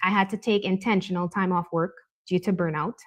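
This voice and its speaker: A woman's voice: fairly low pitch, fairly even intonation, brisk. A woman guest on a talk show, a life coach from Canada, talking candidly and reflectively, often adding "right?" as she goes. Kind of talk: conversational interview.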